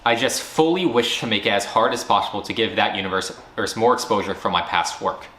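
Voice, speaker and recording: A man's voice: fairly low pitch, a little fast, bright, forceful tone. A man who founded a studio gives a firm, earnest year-end recap, speaking directly to fans. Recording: roomy echo.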